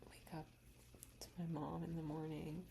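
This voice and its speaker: A young woman speaking in a soft, slow whisper, reflecting emotionally in a personal ASMR-style video. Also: low voice, monotone, creaky, very noisy. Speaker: ASMR creator.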